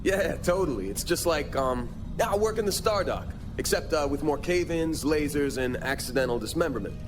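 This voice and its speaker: A man's voice: on the high side, lively intonation, normal speed, music in the background, natural voice. An actor playing an easygoing but jittery male miner sidekick in a video game, talking in casual, sarcastic asides. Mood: joking, easygoing.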